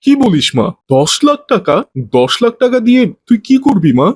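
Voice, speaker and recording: A man voicing a gentle, doting older father in a cartoon story, soft-hearted and gently admonishing. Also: high voice, very animated, normal speed, no echo, studio quality. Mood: worried admonishing.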